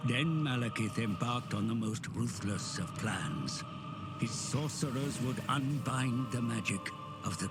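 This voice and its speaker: A male actor playing a grave, ominous storyteller, narrating an epic fantasy game cutscene in a measured, dramatic way. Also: medium pitch, natural voice. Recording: loud cinematic music behind the voice. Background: music.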